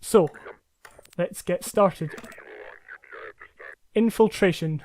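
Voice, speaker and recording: A man's voice: high voice, very slow, a little mellow, slight background noise. A man hosting a gameplay video, chatty and self-deprecating as he thinks aloud. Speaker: host. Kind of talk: gameplay commentary.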